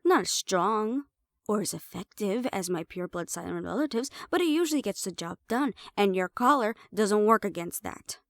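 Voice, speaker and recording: A woman's voice: medium pitch, animated, moderate pace, no echo, lightly acted. Sassy siren hybrid woman, close dry sound, acted. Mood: indignant, angry. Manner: sassy, sweary, conversational, slipping into Spanish. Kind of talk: roleplay audio drama acting.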